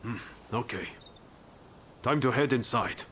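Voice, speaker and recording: Plain-spoken resolute warrior, medium pitch. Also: male voice, animated, unhurried, a bit bright, a little hiss or hum. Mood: practical, calm. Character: pragmatic, persuasive wandering warrior, direct and resolute. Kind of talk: video game cutscene acting.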